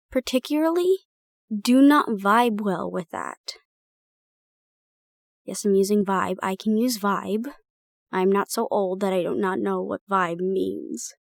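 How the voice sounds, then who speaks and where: A young woman playing a protective roommate in an improvised audio roleplay, brisk and bossy, then gently soothing. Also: mid-range voice, gravelly, dry recording, studio quality. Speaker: caring roommate.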